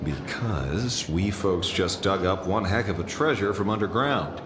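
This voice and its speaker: A man's voice: sits low, animated, a little slow, background noise. An actor playing a self-assured male detective who talks in a dry, matter-of-fact way, in a game scene. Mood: confident. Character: self-assured adult male detective, tough-guy type. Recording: game audio with loud music, echoey.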